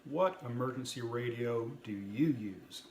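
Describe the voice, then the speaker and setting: A man's voice: medium pitch, expressive, a little slow, darkish tone. Man in a roomy, echoing space. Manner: plainspoken, folksy. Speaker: host of a prepper video channel. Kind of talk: casual product review talk to viewers. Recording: roomy echo, light background noise.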